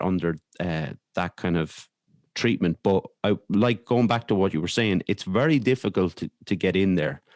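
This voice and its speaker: An Irish man speaking candidly as a podcast guest, in a hesitant, halting way with repeated words. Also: low-pitched, a little mellow, no room sound, clean studio sound. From Ireland. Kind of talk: candid conversational interview.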